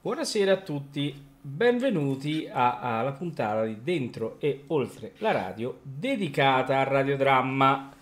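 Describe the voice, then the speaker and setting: A man's voice: medium pitch, very animated, a little slow, few pauses. A man hosting a casual radio talk, rambling hesitantly and often seeking agreement. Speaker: radio host.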